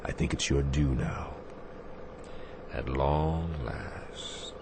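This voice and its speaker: An actor playing a firm, compassionate but weary Navy captain in a radio drama, speaking with deliberate, commanding emphasis. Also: male voice, extremely low pitch, creaky, exaggerated voice. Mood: weary, somber. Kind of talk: dramatic radio theatre acting. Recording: faint background noise.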